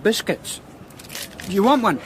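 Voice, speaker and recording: Junior constable, radio crime drama: high pitch, animated, plain-spoken and eager. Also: male voice, a little slow, slight background noise. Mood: chilly, grumbling.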